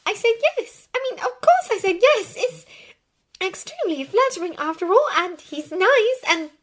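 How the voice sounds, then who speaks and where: A very high, swooping teenage witch played by a woman in an audiobook. She is bookish and earnest, insistent and exasperated, yet caring. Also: big pitch swings, normal speed, a bit bright, heavily acted. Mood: flustered. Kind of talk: acted audiobook dialogue.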